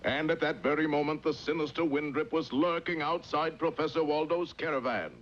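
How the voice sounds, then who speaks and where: A man's voice: fairly high pitch, steady tone, brisk, a bit bright, natural voice. A man giving mock-dramatic scripted narration for a cartoon. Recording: muffled, noisy old cartoon soundtrack.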